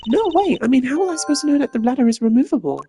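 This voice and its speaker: A man's voice: extremely high pitch, big pitch swings, rapid-fire, warm tone, soft background music, voice pushed up, heavily acted. A man voicing a cheerful, impulsive young thief girl in a video game, with a bubbly, exclaiming delivery. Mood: eager.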